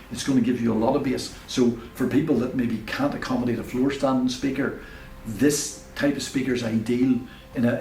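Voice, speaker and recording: A man presenting an informal, unscripted product review as a shop presenter, speaking in a hesitant, halting way. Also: mid-range voice, creaky, a little mellow. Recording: echoey room, distant mic, faint noise.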